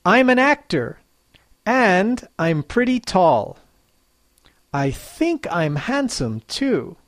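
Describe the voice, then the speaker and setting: A teacher running a guessing quiz for learners, reading each clue slowly and clearly, then repeating it. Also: mid-range voice, big pitch swings, dry recording. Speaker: English teacher. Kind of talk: slow quiz dictation for learners.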